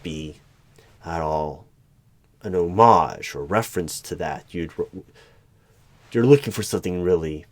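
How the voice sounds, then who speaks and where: A man playing an eerie, probing hiring manager in a one-sided ASMR interview roleplay, speaking deliberately with pauses between questions. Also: low-pitched, no echo. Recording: background noise with keyboard typing and clicks. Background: computer keyboard typing.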